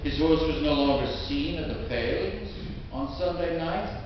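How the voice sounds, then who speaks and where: A man's voice: a little high, animated. Man reading theatrically in an echoing church. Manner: dramatic, old-fashioned delivery with emphatic swells and pauses. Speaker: storyteller reading a classic tale aloud. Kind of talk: theatrical live literary reading. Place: inside a historic stone church. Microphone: distant microphone in the room. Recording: echoey church, distant mic, dull, faint noise.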